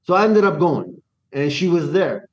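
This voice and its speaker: A man from Iran telling his life story in a casual interview. He talks in an animated way, restarts his sentences and acts out the dialogue he quotes. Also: on the high side, moderate pace, highs cut off. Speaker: guest.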